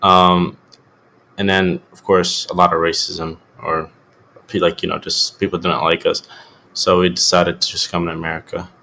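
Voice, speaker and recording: A teenage boy born in Syria and raised in Jordan, with Arabic as his first language, answering questions in a loose, relaxed way full of "um" and "kinda". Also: low voice, normal speed, vocal fry, a little hiss or hum. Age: teens. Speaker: student guest. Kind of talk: casual interview answers.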